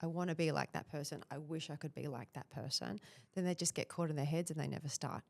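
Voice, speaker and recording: A woman's voice: deep voice, quick pace, gravelly. A woman in her thirties with an Australian accent, a guest on a podcast, speaking confidently and directly. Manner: confident, direct, animated storytelling. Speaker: personal branding guest. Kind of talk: casual interview chat.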